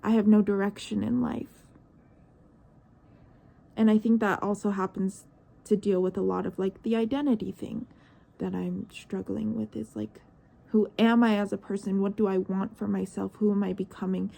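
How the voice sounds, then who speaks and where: A woman's voice: mid-range voice, flat delivery, moderate pace, vocal fry, warm tone. A nineteen-year-old woman talking to herself in a personal vlog, searching and hesitant, her thoughts trailing off into questions. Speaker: vlogger. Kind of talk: reflective personal monologue.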